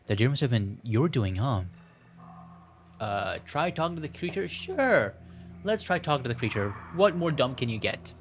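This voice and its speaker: A man's voice: medium pitch, big pitch swings, moderate pace, a little hiss or hum. A man hosting a gameplay video, reading a visual novel aloud with playful, self-mocking commentary and stumbles over the text. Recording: music playing behind the voice. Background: music.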